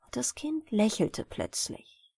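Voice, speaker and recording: A woman narrating fairy tales as a bedtime audiobook in a measured, expressive storytelling manner. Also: a little high, vocal fry, breathy edge, dry recording. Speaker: audiobook reader.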